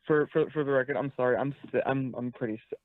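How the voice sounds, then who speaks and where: A man's voice: mid-range voice, quick pace, phone-quality sound. A man hosting a casual livestream chat while sick, talking bluntly, rambling and swearing. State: sick, tired voice. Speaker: streamer host.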